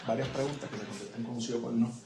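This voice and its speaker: A man's voice: medium pitch, steady tone, quick pace, darkish tone. A male lawyer questioning a police witness in court, polite and methodical. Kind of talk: courtroom examination. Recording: echoey courtroom mic.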